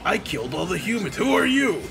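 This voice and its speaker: A man's voice: sits high, very animated, moderate pace, creaky. A man giving casual, sarcastic commentary as a co-commentator on a video game let's play.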